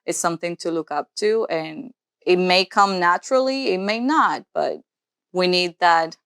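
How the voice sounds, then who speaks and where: A woman's voice: lowish voice, unhurried, gravelly, fairly bright tone, clear, resonant tone, no echo, clean studio sound. A woman in her twenties, born in Cuba, co-hosting a podcast in an earnest, casual way, thinking aloud as she goes. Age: 20s. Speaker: podcast co-host, nurse practitioner.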